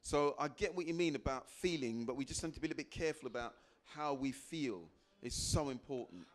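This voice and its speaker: Man, 50s, life coach; animated live talk, works the room. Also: medium pitch, a little fast. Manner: animated, quotes people in put-on voices, asks the crowd questions. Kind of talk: motivational talk to a live audience.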